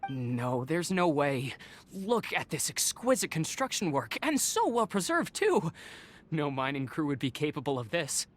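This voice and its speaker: An actor playing an earnest, kindly, excitable young male warrior deputy in a video game, expressive and exclaiming. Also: mid-range voice, measured pace, slight background noise. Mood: amazed. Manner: expressive, exclaiming, stammers when startled. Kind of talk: English game voice acting.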